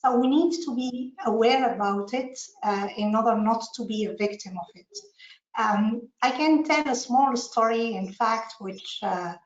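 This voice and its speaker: A woman's voice: on the high side, a little slow. A woman speaking on a webinar panel as a panelist, telling her story with many fillers and slightly non-native phrasing. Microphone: video call. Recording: echoey room, muffled online audio.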